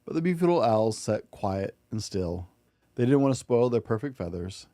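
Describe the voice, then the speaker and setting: Male storybook reader, dark soft tone, gentle expressive bedtime delivery, dry sound. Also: lowish voice, moderate pace, dry recording. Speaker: podcast host and storybook reader. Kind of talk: expressive read-aloud of a children's picture book.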